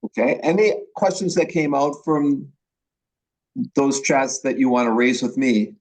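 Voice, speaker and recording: Man, Upper Midwest accent, professor, video call, chatty, restarts, middle pitch. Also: lively intonation, measured pace, darkish tone, rough recording. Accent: Upper Midwest American. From Milwaukee, Wisconsin. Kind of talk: online class orientation.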